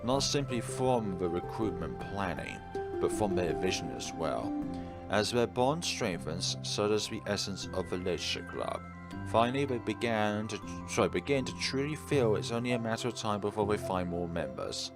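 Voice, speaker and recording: A man's voice: lowish voice, lively intonation, moderate pace. A man reading a visual novel aloud and commenting on it in a theatrical, slightly formal way over game music. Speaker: video game playthrough host. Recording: music behind the voice.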